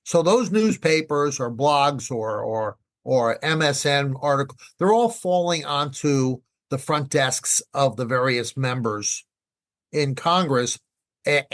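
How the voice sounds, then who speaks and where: A man's voice: medium pitch, fairly bright tone. A man talking conversationally as an expert podcast guest, emphatic, repeating phrases and posing rhetorical questions. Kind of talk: conversational explaining.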